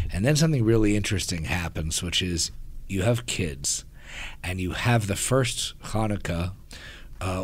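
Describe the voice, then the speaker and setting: Low-voiced man, rambling reflective host. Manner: reflective, rambling with many "uh" and "you know". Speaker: podcast co-host. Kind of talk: casual podcast chat.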